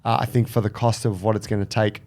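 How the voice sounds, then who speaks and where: A man's voice: on the low side, level tone, fast talker, a little mellow. A man co-hosting a podcast, talking in a loose, chatty way and swearing casually. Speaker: podcast co-host. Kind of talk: casual advice chat.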